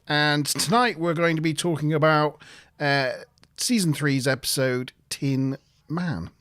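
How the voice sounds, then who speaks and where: A man with a deep, boomy voice, hosting a casual review livestream and joking with his co-host. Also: fairly even intonation, unhurried, fairly bright tone, no room sound, clean studio sound. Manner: jokey, laughs often, playful announcer voice for the intro. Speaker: podcast host. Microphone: good desk mic.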